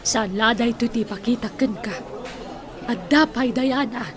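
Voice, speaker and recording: A woman's voice: fairly high pitch, measured pace, a crowd in the background, natural voice. A woman playing a wealthy, playful auntie with a Filipino accent in a radio drama, proud and indulgent. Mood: proudly revealing. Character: wealthy, playful, generous auntie who owns businesses.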